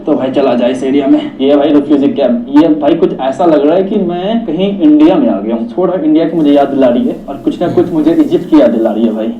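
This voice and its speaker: Indian guy in his 20s vlogging on the street, kinda high voice, chatty, far off and echoey with a dull muffled sound. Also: quick pace, hardly any pauses. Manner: chatty, says "bhai" often, switches between Hindi and broken English. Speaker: travel vlogger. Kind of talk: casual travel vlog narration. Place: outdoors in streets. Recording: echoey, distant, dull with faint noise.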